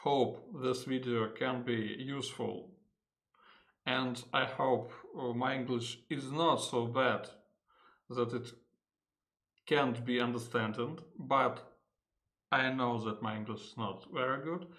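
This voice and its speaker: A man with a Russian accent and Russian as his first language, giving an unedited software tutorial in English, halting often with fillers and correcting himself. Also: mid-range voice, steady tone. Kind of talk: unedited screen-recorded tutorial.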